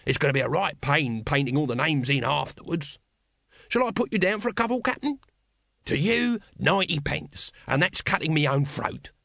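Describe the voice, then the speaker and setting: A man's voice: a little high, expressive, moderate pace, gravelly, a bit bright, no echo, studio quality, full character voice. A man voicing a cheerful, pushy street vendor in a storybook, with wheedling sales patter. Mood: grumbling, salesmanlike. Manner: whining, hawking sales patter.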